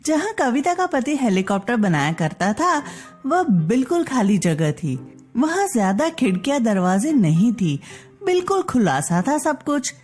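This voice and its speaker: Casting: female story narrator, high voice, animated intonation, deliberate scripted delivery. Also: faint music behind. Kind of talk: scripted Hindi children's-style story narration.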